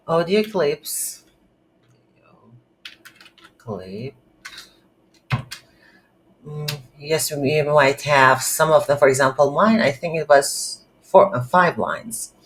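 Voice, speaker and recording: A game development instructor with non-native English talks slowly through an unscripted coding tutorial. The delivery is halting, thinking aloud at a medium pitch. Some faint noise and keyboard typing sit behind the voice. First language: not English. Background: computer keyboard typing.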